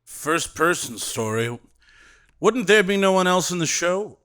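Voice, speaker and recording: A man's voice: high voice, expressive, a little slow, a bit bright, clean tone. An actor playing a self-assured, theatrical male theater director in an audio drama. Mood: brash. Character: brash confident male theater director, grandiose.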